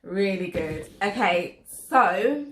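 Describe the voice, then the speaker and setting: A woman's voice: mid-range voice, lively intonation, takes it slowly, fairly bright tone. A middle-aged woman hosting a casual family vlog at home, playful and laughing easily. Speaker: vlogger. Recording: roomy echo.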